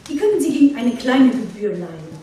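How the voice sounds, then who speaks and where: A woman's voice: a little high, big pitch swings, brisk, warm tone. A woman playing the host of a seaside retreat in a radio play, explaining things in a musing interview style. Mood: explanatory, musing. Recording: very echoey, distant, faint noise.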